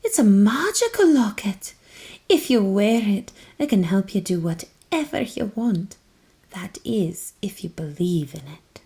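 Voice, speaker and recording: A woman's voice: mid-range voice, big pitch swings, a little slow, mellow, breathy edge, exaggerated voice. A woman voicing a kindly, gracious great-aunt in a children's storybook. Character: kindly great-aunt giving a magical gift.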